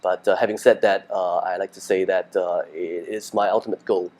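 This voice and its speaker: A middle-aged man from Singapore, a dentist, answering interview questions in a halting way, with many fillers and restarts. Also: low-pitched, level tone, a little mellow.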